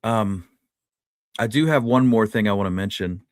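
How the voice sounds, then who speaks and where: A man co-hosting a history show in casual chat, informal and halting as he corrects himself. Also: on the low side, dry recording, clean studio sound. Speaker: co-host of a history talk show.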